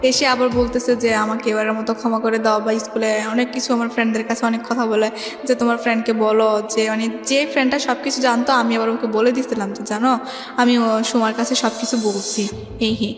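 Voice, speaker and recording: Young bangladeshi woman, really high voice, telling her story in a rambly everyday way, big echoey reverb. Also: little pitch movement, a little fast. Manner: rambling, colloquial, often recounts reported speech. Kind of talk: personal storytelling in an interview. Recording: echoey reverberant room, music underneath.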